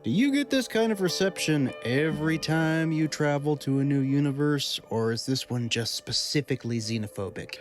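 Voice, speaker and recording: A man's voice: on the high side, animated, moderate pace, hardly any pauses, soft background music, natural voice. A man playing a cocky, sarcastic adventurer who wisecracks in a comic audio drama. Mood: mocking. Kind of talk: audio drama comedy acting.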